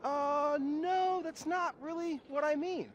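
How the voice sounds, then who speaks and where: A man's voice: very high voice, steady tone, slow, slight background noise. A man playing a naive, earnest, melodramatic young protégé in a satirical video game film. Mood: sincere. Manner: wide-eyed, overwrought, melodramatic.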